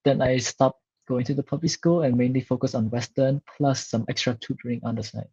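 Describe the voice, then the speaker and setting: A man in his twenties from Cambodia, a Khmer speaker, answering interview questions in a steady, articulate way. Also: mid-range voice, a little fast, slightly rough, no room sound, dull audio. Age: 20s. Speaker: guest, student.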